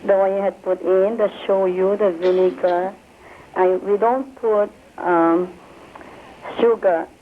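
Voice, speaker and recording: A woman's voice: medium pitch, fairly even intonation, slow pace, slight background noise. A woman from the Philippines calling in by phone, asking doubtful, teasing questions. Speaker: caller. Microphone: telephone. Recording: tinny phone line.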